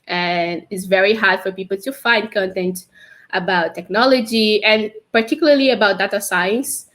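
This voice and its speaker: A woman's voice: medium pitch, normal speed, fairly bright tone. A young woman from Brazil with a Brazilian Portuguese accent, chatting casually as a guest on a livestream interview over a video call, laughing often. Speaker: guest, developer advocate.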